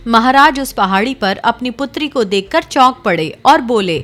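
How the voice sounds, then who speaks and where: A woman narrating a cartoon fairy tale in a steady, dramatic storytelling way. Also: fairly high pitch, normal speed, soft background music.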